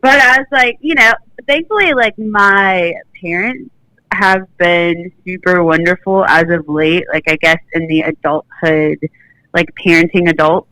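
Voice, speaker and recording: Bright, chatty woman in her twenties. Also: on the low side, steady tone, measured pace, no room sound, rough recording. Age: 20s. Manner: chatty, full of "like". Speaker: caller. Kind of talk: casual phone-in chat.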